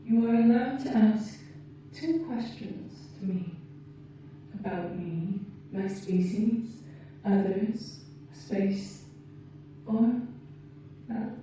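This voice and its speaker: A woman voicing a gentle, caring alien rescuer in an ASMR roleplay, speaking formally and precisely with deliberate pauses. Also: medium pitch, natural voice. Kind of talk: ASMR roleplay, slow soothing delivery. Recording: muffled, echoey, distant, faint noise.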